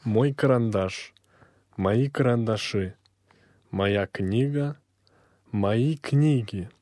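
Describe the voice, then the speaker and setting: A man reading a textbook language lesson aloud, slowly and with careful, clear enunciation. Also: on the low side, darkish tone, no echo, clean studio sound. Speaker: language course reader.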